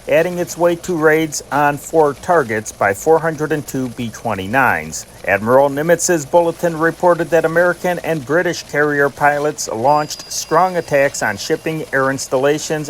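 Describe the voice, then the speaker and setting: A man reads news dispatches from a script in a formal, old-fashioned wire-service manner. His voice sits a little higher than most men's. A light layer of steady noise runs behind him. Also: low-quality recording. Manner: formal, announces each dateline city before the item. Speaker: news reader, channel narrator.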